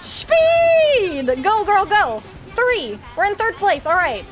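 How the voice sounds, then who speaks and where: A young woman streaming a game, chatting with her viewers and cheering loudly through the races, though her voice is growing worn. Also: very high-pitched, big pitch swings, takes it slowly. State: losing her voice. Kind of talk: live game stream commentary with chat.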